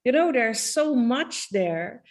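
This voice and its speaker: Woman hosting the panel, slowish, bit high, thoughtful. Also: big pitch swings. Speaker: host. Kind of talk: moderating a panel.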